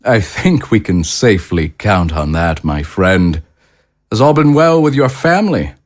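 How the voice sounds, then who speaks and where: An actor playing a courtly, smooth-talking consul in an audio drama, formal, stately and politely condescending. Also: male voice, lowish voice, very animated, a little slow. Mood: courteous. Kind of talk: dramatic dialogue acting.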